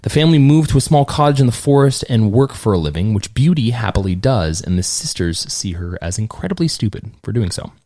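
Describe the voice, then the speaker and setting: A man casually retells an old fairy tale on a podcast, breaking in with dry jokes. His pitch rises and falls a lot as he narrates. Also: animated, fairly quick, few pauses, mellow. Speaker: podcast host, storyteller. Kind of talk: casual storytelling chat.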